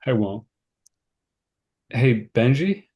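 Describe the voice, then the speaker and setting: A man's voice: medium pitch, animated, very slow, warm tone, highs cut off. A man acting an anxious, straight-laced and sceptical character in a naturalistic table read. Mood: nervous relief.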